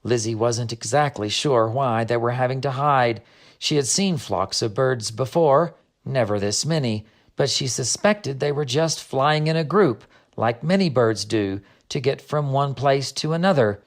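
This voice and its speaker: A man reads a storybook aloud as narrator. His voice sits at a middle pitch, and his delivery is measured and expressive. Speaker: narrator, author reading own book.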